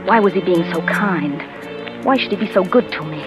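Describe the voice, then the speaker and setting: Uneasy young wife, played by an actress, quiet suspense narration for a vintage radio play with music underneath. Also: female voice, on the low side, brisk, highs cut off, natural voice. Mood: suspicious. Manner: hushed, uneasy first-person narration. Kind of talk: 1940s radio drama acting. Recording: 1940s radio broadcast with music.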